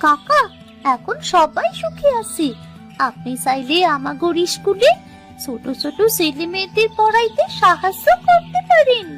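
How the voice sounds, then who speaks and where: A woman's voice: extremely high pitch, sing-song, a little slow, fairly bright tone, full character voice. A woman voicing a kind, grateful young village girl in a children's cartoon, sweet and earnest, with a rural Bangladeshi Bengali accent. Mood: earnest, happy. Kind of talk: children's cartoon fairy-tale dialogue. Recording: music behind the voice.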